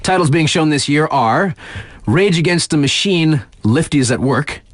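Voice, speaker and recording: Lively, laid-back snowboard radio DJ guy. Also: on the high side, a little slow, dry recording. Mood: wry, amused. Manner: casual, slangy, hyping up listeners. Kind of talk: radio DJ announcements in a video game.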